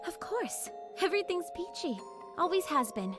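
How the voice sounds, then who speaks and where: A woman's voice: very high voice, sing-song, moderate pace, music in the background. An actress playing a spirited, determined female squad leader in an anime game, earnest and slightly formal. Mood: cheerful, curious. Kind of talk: scripted anime game voice acting.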